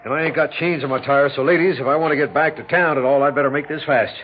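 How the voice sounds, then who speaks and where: An actor playing a middle-aged small-town sheriff in a 1960s radio drama, blustery and folksy, with a rural American accent. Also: male voice, fairly high pitch, steady tone, fast, husky. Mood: gruffly complaining. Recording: dull 1960s radio recording.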